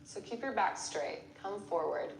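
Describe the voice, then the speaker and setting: A woman yoga instructor guiding a class step by step with gentle encouragement. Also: mid-range voice, animated, unhurried, gravelly, a little hiss or hum. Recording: roomy echo with music behind. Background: background music.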